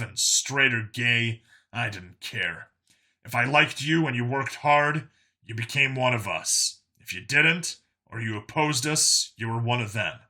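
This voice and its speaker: A man plays a tough enforcer in a story, speaking at a middle pitch with a very bright, forceful edge. He explains himself earnestly and bluntly. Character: Vincent, earnest tough human enforcer, reformed bigot.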